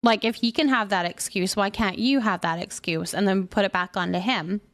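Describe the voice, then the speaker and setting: A woman hosting a live stream, chatting casually with viewers in an animated, expressive, sympathetic and informal way as she reads and answers their comments. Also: mid-range voice, normal speed.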